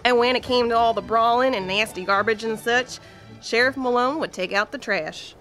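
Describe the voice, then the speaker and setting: Higher-pitched woman narrating a western. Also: normal speed, over music. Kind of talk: scripted folksy western storytelling narration.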